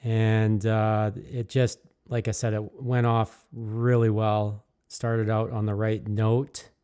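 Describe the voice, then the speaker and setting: A man's voice: fairly low pitch, level tone, takes it slowly, warm tone, clear, resonant tone. A man narrating his own work in an easygoing, rambling way, candid and enthusiastic, with many fillers and restarts. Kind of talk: casual reflective voice-over.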